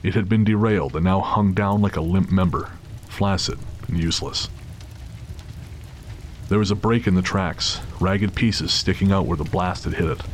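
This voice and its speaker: A man delivers scripted story narration in a very deep register. He serves as the narrator of an audio drama. Urban ambience runs clearly behind his voice. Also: moderate pace. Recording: clear background noise of city ambience.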